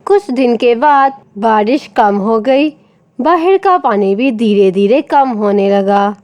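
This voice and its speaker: A woman's voice: a little high, lively intonation, takes it slowly, darkish tone. A woman narrating a scripted children's story. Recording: faint rain and background noise.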